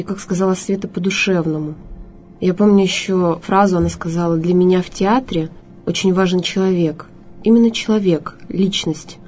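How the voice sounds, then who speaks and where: A woman's voice: lowish voice, a little mellow, a little hiss or hum. A woman, a theatre actress, sharing memories in an informal, conversational way with short, simple phrases. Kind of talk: informal spoken reminiscence. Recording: music behind the voice.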